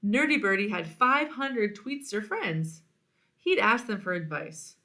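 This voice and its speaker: A woman reading a children's storybook aloud in an expressive narrating style. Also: medium pitch, unhurried. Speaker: storybook reader.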